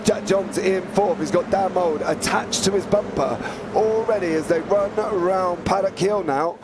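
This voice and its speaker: A man with a croaky, flu-roughened voice, pitched a little above the usual, calls a sim race with lively play-by-play and quick jokes. A clear hiss of noise sits under his voice. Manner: chatty, quick to joke, excited play-by-play. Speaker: sim racing commentator. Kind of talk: live race commentary.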